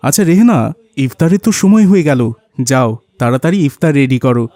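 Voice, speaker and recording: A man's voice: fairly high pitch, lively intonation, fast, warm tone, no room sound, lightly acted. A man with a Bangladeshi accent voicing a rich, generous, boastful businessman father in a cartoon. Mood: proud, affectionate. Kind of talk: cartoon voice acting.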